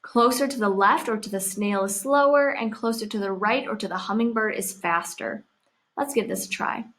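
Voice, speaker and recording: Female tutorial narrator, mid-range voice, scripted and instructional, lays out each step clearly. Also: medium pitch, normal speed, fairly bright tone. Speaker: software tutorial presenter.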